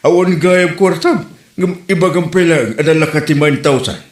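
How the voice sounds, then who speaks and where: A man's voice: a little high, normal speed, lightly acted. A man with an Ilocano accent playing a teasing, mocking villager in a comedy drama. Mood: teasing, amused. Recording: roomy, echoey sound.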